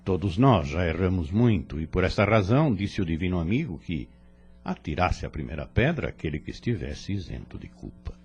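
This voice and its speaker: Man acting a deep, husky, fatherly spirit mentor. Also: sing-song, a little mellow, dull audio, heavily acted. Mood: consoling, solemn. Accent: Brazilian. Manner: solemn, measured, formal exhortation. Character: serene, fatherly male spirit mentor, kindly and preaching. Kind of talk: religious audio drama acting.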